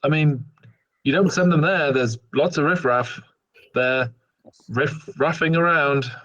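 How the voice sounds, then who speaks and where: A man's voice: a little high, unhurried, fairly bright tone, dry recording, muffled recording. A man playing a cheeky, blunt young companion in a tabletop game, irreverent and grumbling.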